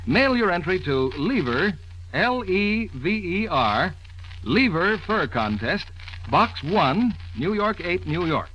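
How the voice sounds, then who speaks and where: Lively, high-pitched American male radio announcer. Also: slow pace. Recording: dull, faintly noisy old radio recording.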